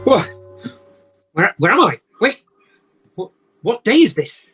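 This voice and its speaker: An actor playing an emotional, repentant old male miser in an amateur radio play, with theatrical, halting exclamations. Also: medium pitch, very animated, a bit bright, slightly rough, soft background music, heavily acted. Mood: bewildered. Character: repentant old male miser, desperate then giddily joyful. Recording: home video-call audio.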